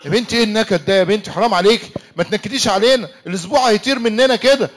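A man's voice: high voice, rapid-fire, natural voice. A young man with an Egyptian accent playing an easygoing, defensive husband in a church radio comedy-drama, protesting in a pleading, exasperated way. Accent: Egyptian Arabic.

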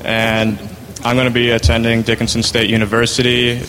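A man who is a college senior, giving a casual, slightly halting speech at a convention. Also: medium pitch, monotone, a bit bright, slight background noise, poor audio.

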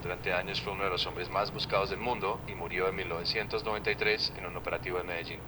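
A deep, low male synthetic voice answers in calm, evenly paced explanations. His accent is neutral Latin American with a slight Colombian tint. The sound comes from a phone speaker, with clear street noise behind it. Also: fast. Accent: neutral Latin American Spanish leaning Colombian. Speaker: AI voice assistant.

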